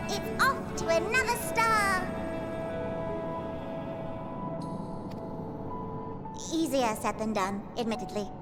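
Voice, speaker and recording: A little rabbit-like creature chatters in an extremely high voice. It is self-important and theatrical, rattling through wordy, old-fashioned phrases with cheerful authority. Prominent game music plays behind it. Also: female voice. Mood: chipper, matter-of-fact. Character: chirpy, long-winded, self-important little rabbit-like moon keeper. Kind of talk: scripted video game dialogue.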